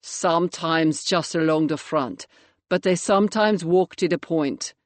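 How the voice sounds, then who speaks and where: A woman voicing a guarded young male trainee chef with an Eastern European accent, speaking in low, terse, broken English. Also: a little slow, no echo.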